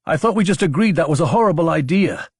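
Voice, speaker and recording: A man's voice: a little high, expressive, fast talker, breathy edge, no echo, studio quality, voice pushed up. A man voicing an anxious, earnest young military officer in an audiobook, protesting in informal speech. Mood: indignant, dismayed.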